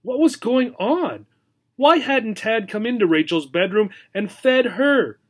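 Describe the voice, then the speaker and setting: A man with a notably high voice reads a children's story aloud as a teacher. His telling is expressive and explanatory, pitched for young learners. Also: measured pace. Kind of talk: children's story read-aloud with simple recap.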